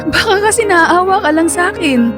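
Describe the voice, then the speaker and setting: A woman's voice: extremely high pitch, lively intonation, normal speed, over music. An actress playing a shy, self-doubting young woman, hesitant, in a Filipino radio drama. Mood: doubtful, worried. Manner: hesitant, insecure.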